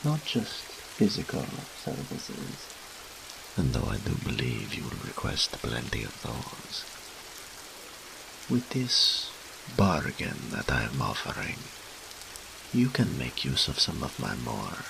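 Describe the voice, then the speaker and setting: An ancient, seductive incubus demon speaks in an extremely low, heavily gravelly voice. His delivery swings dramatically in pitch as he teases and boasts with theatrical arrogance, as in an intimate audio roleplay addressed straight to the listener. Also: background noise, full character voice. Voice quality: very deep, gravelly. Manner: slow, theatrical, teasing, pompous.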